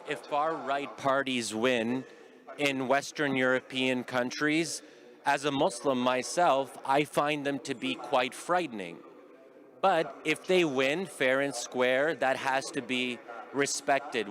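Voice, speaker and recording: Man speaking over crowd noise. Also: mid-range voice. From United States. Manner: measured, explanatory, careful. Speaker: panel speaker. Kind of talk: public panel discussion. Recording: clear crowd noise behind.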